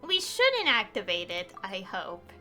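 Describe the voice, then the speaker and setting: A woman's voice: mid-range voice, wildly swooping pitch, a little slow, pushed and bright, faint music behind. A woman chatting casually and informally on a game livestream, rambling a little as she goes. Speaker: game streamer.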